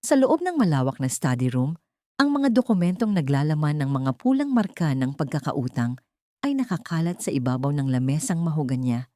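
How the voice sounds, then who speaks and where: A woman narrating a scripted dramatic story in a measured, solemn, literary way. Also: sits low, big pitch swings, warm tone, dry recording, clean studio sound.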